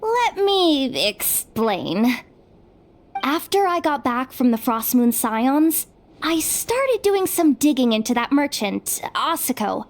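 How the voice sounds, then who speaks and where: A woman's voice: sits high, big pitch swings, full character voice. A woman voicing a sardonic young female investigator in an English game dub, talking in a wry, grumbling way. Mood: explaining. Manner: wry, grumbling, slow-spoken. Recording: faint background noise.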